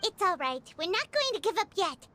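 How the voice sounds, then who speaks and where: A squeaky little floating game sidekick, super high and bouncy, music playing behind. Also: female voice, very animated, moderate pace, fairly bright tone, slight background noise, exaggerated voice. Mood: reassuring. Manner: speaks of herself in third person. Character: tiny floating girl companion, chirpy, eager. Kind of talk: video game cutscene dialogue.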